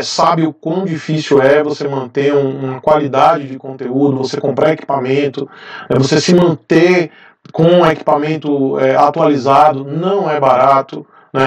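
A man in his thirties from Brazil talks straight to camera in a casual vlog. His voice sits a little high, and he rambles through fillers and restarts. The room gives his voice an echo, a bit distant from the mic. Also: darkish tone. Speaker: motovlogger, channel host. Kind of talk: casual talking-head vlog. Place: indoor garage with motorcycles. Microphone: lapel mic.

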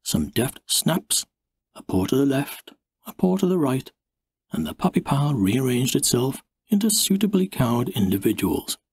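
A man narrating an audiobook in an expressive, dramatic storybook style. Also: mid-range voice, normal speed, breathy edge, no room sound.

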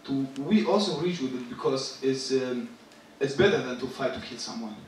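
A young man in his twenties from Syria, a rapper talking on a theater stage, halting and searching for words. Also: medium pitch, animated, far from the mic. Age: 20s. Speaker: rapper and guest artist. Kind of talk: stage talk. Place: large theater stage with live audience. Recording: echoey stage, picked up from afar.

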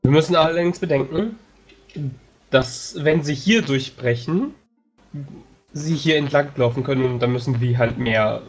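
A man playing a tabletop game, planning a battle while thinking aloud with many pauses and fillers. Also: medium pitch, soft background music. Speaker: tabletop player.

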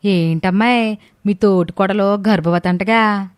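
మహిళ నటించిన ఆరా తీసే పొరుగింటి పెద్దావిడ. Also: female voice, mid-range voice, fairly even intonation, unhurried, clear, resonant tone, no room sound, natural voice. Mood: prying. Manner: prying, colloquial. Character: nosy, tactless older neighbourhood gossip woman.

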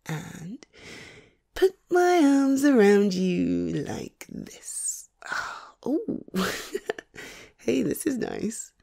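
A woman playing a sleepy, tender, playful girlfriend in an ASMR roleplay, speaking softly and coaxingly with a breathy, often whispered delivery. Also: mid-range voice, full character voice. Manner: soft, coaxing, affectionate, unhurried.

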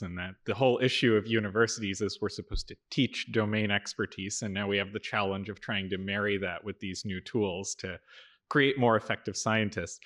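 A young man with a fairly low voice asks a question from the audience of a lecture hall. He speaks through a handheld mic and builds his question step by step. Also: a bit bright. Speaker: audience member asking a question. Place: lecture hall seating, standing.

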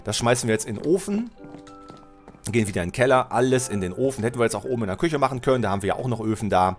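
A middle-aged man with a head cold narrates his gameplay in a chatty, easygoing way, talking straight to the viewer. His voice sits lower than usual from the cold. He thinks out loud and catches his own slips, speaking into a headset mic at home with faint music behind him. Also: lively intonation, racing. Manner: chatty, thinks aloud, self-correcting, addresses viewer. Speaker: Let's Play host. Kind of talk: casual gameplay commentary. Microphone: headset mic at desk, webcam.

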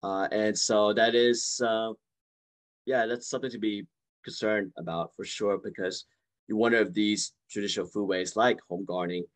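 A man's voice: on the low side, fairly even intonation, normal speed. A man in his forties, born in Vietnam, answering audience questions on a video call from a room at home, hesitant with many fillers and restarts. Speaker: guest speaker. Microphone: video call webcam.

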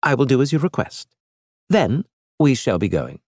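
A man voicing a cunning, fussy alien male trader, raising his voice high and indignant. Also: very animated, normal speed, darkish tone, no echo, clean studio sound. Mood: wheedling.

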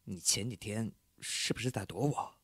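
A man's voice: on the low side, moderate pace, a bit bright, a little hiss or hum, lightly acted. A man acting a blunt, impatient yet teasing young man in a Chinese audio drama. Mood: soft, teasing. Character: curt, teasing young man, secretly fond of his roommate.

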